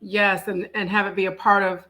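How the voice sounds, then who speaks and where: A woman with a bright, forward voice at a middle pitch hosts a casual talk. She is effusive and chatty, telling things playfully as she welcomes people. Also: level tone, normal speed. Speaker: talk show host. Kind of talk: casual welcoming conversation and introduction.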